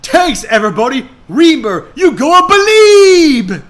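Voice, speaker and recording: A man voicing an exuberant panda performer, shouting chants like a hype man in a parody reading. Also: big pitch swings, a little slow, heavily acted. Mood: hyped, exuberant. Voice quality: high, shouted. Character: hyped-up singing panda hero working a crowd.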